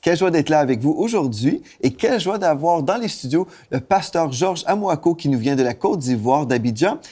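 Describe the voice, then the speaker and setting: Upbeat pastor host with a mellow voice. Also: male voice, fairly high pitch, lively intonation, fairly quick. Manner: enthusiastic, frequent praise interjections. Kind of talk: studio interview. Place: TV studio.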